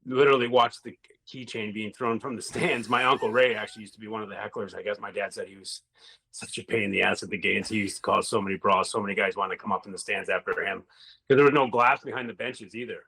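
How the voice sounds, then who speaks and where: A man's voice: on the low side, steady tone, quick pace, vocal fry, sharp tone, breathy edge, poor audio. A middle-aged man, a former hockey player, telling rambling stories in a casual interview and swearing now and then.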